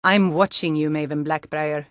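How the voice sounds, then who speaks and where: A woman's voice: sits low, fairly even intonation, moderate pace, dry recording, clean studio sound, lightly acted. A woman voicing a hardy, forthright Nord townswoman and guard in a fantasy video game, speaking in an earnest, firm, plain-spoken way. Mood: stern, threatening.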